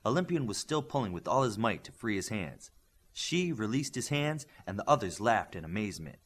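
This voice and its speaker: A man narrating scripted fiction as an audiobook reader, with expressive delivery that lifts into dialogue. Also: fairly low pitch, a little fast.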